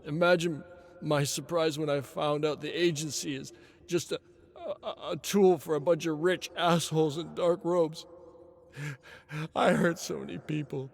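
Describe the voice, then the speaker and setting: An anguished man, acted out by a male narrator confesses in a dramatic audiobook. His voice sits high, breaking into halting stammers. He is drugged, frightened and weighed down by guilt. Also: fairly even intonation, gravelly. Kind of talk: dramatic audiobook character acting.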